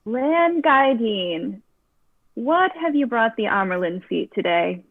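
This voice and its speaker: Stately, wry fantasy gatekeeper , female actor, slightly high, very dry, phone-quality sound. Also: very animated, slow pace, no room sound, exaggerated voice. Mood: stately, commanding. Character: tall, regal, amused woman gatekeeper of a magical order. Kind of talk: table read of a fantasy screenplay. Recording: thin phone-like call audio.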